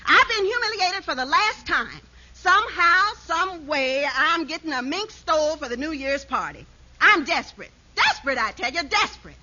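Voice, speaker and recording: An actress playing an exasperated, demanding wife, melodramatic and insistent, in a 1950s radio comedy. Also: female voice, high-pitched, very animated, normal speed, sharp tone, heavily acted. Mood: indignant. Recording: muffled old radio recording.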